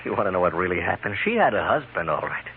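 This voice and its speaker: Through a narrow, slightly noisy old radio recording comes a lowish male voice: a jealous husband on the run, bitter and tormented. It is played as 1950s western radio drama, wounded and intense. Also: very animated, fast. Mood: bitter, resigned.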